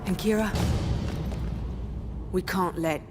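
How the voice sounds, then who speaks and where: A woman's voice: lowish voice, slow. An actress playing a tough female gang leader in a video game, curt and commanding. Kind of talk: video game cutscene voice acting. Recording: game audio with music. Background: music.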